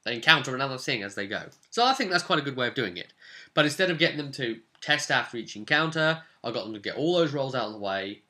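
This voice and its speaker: A man speaking casually as a game master, recounting a tabletop campaign in an animated, explanatory way straight to the listener. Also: mid-range voice, quick pace. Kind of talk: casual storytelling to camera.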